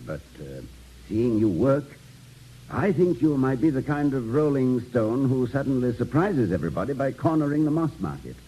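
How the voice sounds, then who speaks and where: An actor playing a kindly, gently witty college president in early 1950s radio comedy-drama, polite and a little hesitant. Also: male voice, mid-range voice, big pitch swings, normal speed, slight background noise, close to an everyday voice, rough recording. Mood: courteous, dry.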